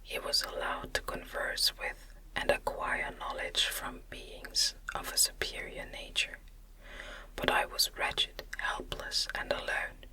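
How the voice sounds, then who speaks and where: A woman gently whispering a gothic novel as an ASMR audiobook narrator, in breathy, deliberate phrases. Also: low-quality recording.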